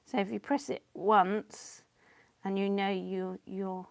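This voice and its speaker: A chatty woman hosting a painting stream, her voice worn down and a little gravelly. She talks loosely to her viewers between laughs, close and dry to the mic. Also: medium pitch, flat delivery, slow, no echo. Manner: chatty, laughs often, reads comments aloud. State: losing her voice, coughing. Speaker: painting livestream host. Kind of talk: casual chat while painting.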